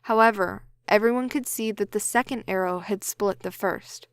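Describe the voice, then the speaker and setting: A woman narrates a scripted story as if for an audio drama. Her voice is smooth and clear at a middling pitch, recorded very dry. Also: normal speed, no room sound. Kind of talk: scripted audiobook-style narration, blooper takes.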